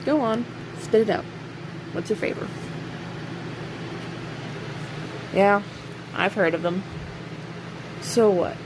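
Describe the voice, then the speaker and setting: A woman playing a snarky, cocky imprisoned serial killer in an audio roleplay, sarcastic and teasing, with pointed pauses. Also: mid-range voice, lightly acted. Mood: mocking, taunting. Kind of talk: audio roleplay acting, addressing an unheard listener. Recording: steady clear background hiss or hum.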